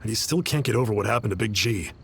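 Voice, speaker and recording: A man's voice: lowish voice, expressive, quick pace, creaky, fairly bright tone, slight background noise. An actor playing an earnest, polite young man who cooks, a little wistful about an old friend. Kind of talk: video game voice acting.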